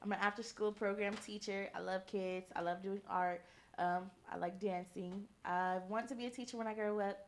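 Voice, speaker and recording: A woman's voice: medium pitch, steady tone, moderate pace. Easygoing woman in her twenties. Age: 20s. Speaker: podcast co-host. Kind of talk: casual chat.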